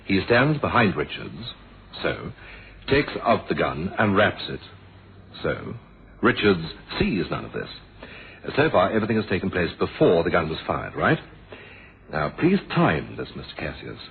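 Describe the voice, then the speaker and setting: An actor playing a calm, shrewd male police inspector in a radio mystery, explaining in a deliberate, measured way and pressing his point. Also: low-pitched, creaky, heavily acted. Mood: methodical, patient. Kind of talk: 1970s radio mystery drama acting. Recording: muffled, noisy old radio drama recording.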